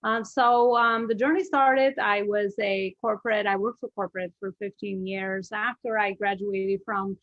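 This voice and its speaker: A woman's voice: mid-range voice, fairly even intonation, normal speed, few pauses, no echo, lo-fi sound. A middle-aged woman with an Albanian accent, a business coach telling her story as a podcast guest, chatty with many fillers and restarts.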